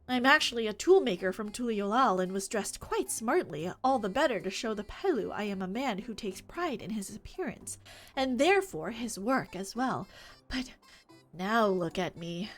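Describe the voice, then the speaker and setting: A woman's voice: medium pitch, expressive, moderate pace, a bit bright. A woman voicing a proud, dapper male lizardfolk toolmaker in a fantasy game, with formal, wordy phrasing. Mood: indignant, proud. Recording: music behind the voice.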